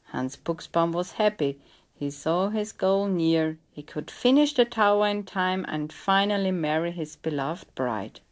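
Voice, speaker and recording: A woman reading a scripted legend as a storyteller, in a measured, dramatic storybook style. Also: lowish voice, lively intonation.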